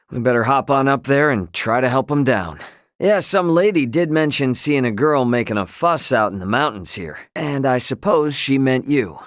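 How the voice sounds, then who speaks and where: A man's voice: mid-range voice, no room sound, clean studio sound, lightly acted. A man voicing an easygoing, friendly young trader and adventurer in a video game, with a casual, folksy delivery. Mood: helpful, casual.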